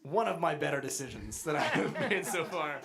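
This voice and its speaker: A young man from Montana, a guest musician in a casual interview, joking about himself in a dry, deadpan way. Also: on the high side, a little fast, creaky, crowd noise. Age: young adult. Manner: dry, self-mocking deadpan humor.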